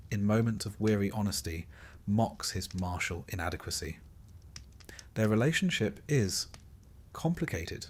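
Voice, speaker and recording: A man reading a scripted sleep-story narration in measured, deliberate phrases, with dry, wry understatement. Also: fairly low pitch, husky.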